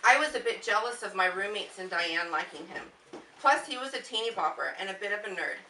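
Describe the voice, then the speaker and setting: A woman's voice: mid-range voice, expressive, fairly quick. An American woman reading a scripted story aloud to relatives as a puppet show narrator. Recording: echoey, noisy room recorded from far off.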